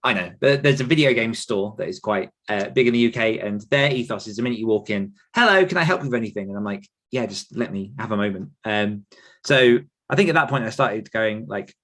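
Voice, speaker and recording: British male podcast guest, lowish voice, conversational storytelling, chatty and self-effacing. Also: lively intonation, a little fast, a bit bright. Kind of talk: casual personal anecdote in conversation.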